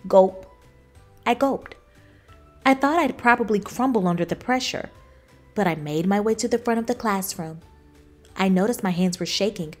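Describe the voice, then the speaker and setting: A woman voicing an earnest, anxious cookie schoolchild in a children's storybook, with expressive, dramatic emphasis. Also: medium pitch, normal speed, long pauses, faint music behind, lightly acted. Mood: nervous. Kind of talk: children's storybook read-aloud.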